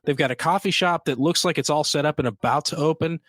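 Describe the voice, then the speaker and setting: A man's voice: on the high side, quick pace, a bit bright, no echo, studio quality. A man co-hosting a beer podcast in casual chat, sounding congested with a nasal scratch. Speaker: podcast co-host.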